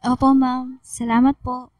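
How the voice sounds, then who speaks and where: A shy teenage schoolgirl with a high, soft-toned voice and a Filipino accent. She speaks politely and respectfully in a dry-sounding radio drama. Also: no room sound, rough recording.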